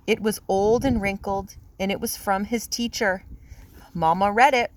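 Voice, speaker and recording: A woman's voice: medium pitch, fairly even intonation, unhurried. A woman reading a children's picture book aloud in a simple, teacherly, explanatory manner. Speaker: read-aloud storyteller.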